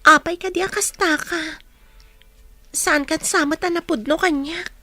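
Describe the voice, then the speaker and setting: A woman's voice: extremely high pitch, measured pace, bright, forceful tone, no echo, faint music behind, exaggerated voice. A woman playing a lovesick, pleading young student in an audio drama.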